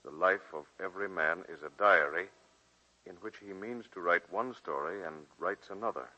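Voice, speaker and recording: An actor playing an earnest, romantic college professor with a literary, measured manner in a 1940s radio drama. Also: male voice, deep voice, sharp tone, full character voice. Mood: tender, literary. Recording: muffled vintage 1940s radio broadcast, music behind.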